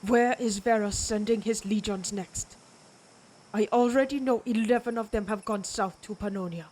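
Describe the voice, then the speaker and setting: A woman's voice: mid-range voice, a little mellow, a little hiss or hum, close to an everyday voice, low-quality recording. A woman playing a proud, menacing vampire warrior of ancient Germanic tribes in a dramatic audio roleplay, speaking in a taunting, predatory way. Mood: mocking. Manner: slow, taunting, theatrical, predatory.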